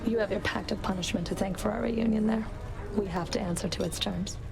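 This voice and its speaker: An actress playing a guarded, stern rival in a video game, curt, dry and controlled. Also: female voice, medium pitch, fairly even intonation, fairly quick, vocal fry, close to an everyday voice. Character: cool, guarded, stern female rival of a prince.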